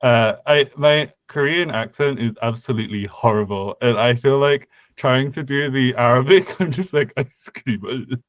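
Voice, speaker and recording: A man's voice: medium pitch, a little slow, few pauses, dry recording, lo-fi sound. A young man co-hosting a casual podcast about language design, thinking aloud with many fillers and restarts. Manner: hesitant, lots of fillers and restarts. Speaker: podcast co-host. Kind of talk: casual conlang design discussion.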